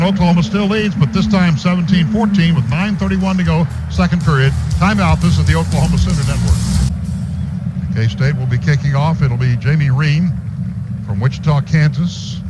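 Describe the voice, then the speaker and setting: An American man calling live football play-by-play on the radio, stretching words as plays develop. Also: fairly high pitch. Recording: dull radio broadcast, clearly noisy.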